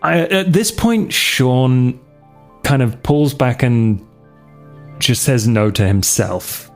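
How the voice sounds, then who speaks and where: A man with a creaky, gravelly voice speaks slowly as a player in a tabletop role-playing game. He feels his way through his character's actions in hesitant fragments, with music playing behind him. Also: mid-range voice. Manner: hesitant, thinking aloud in fragments. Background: background music.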